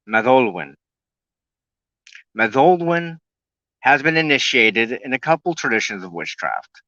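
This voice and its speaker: A man hosting a talk broadcast, reading a prepared monologue in a halting way and stumbling over words. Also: medium pitch, sharp tone, dry recording, highs cut off. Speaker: host of a weekly occult broadcast. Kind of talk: scripted monologue, partly read aloud.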